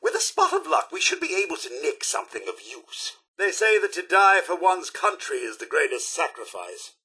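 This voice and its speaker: A man's voice: sits high, huge pitch swings, moderate pace, sharp tone, slightly rough, full character voice. A man playing a polite, fussy robot butler in a video game, with a British accent and genteel, theatrical, wry courtesy. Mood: chipper, courteous. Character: polite, fussy male robot butler, chipper yet wistful. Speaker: robot companion. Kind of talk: video game companion remarks.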